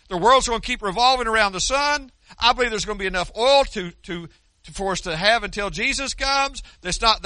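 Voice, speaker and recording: A man's voice: high-pitched, sharp tone, no echo. An older American man preaching a sermon in an earnest, conversational way, often restarting his sentences. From United States. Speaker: pastor.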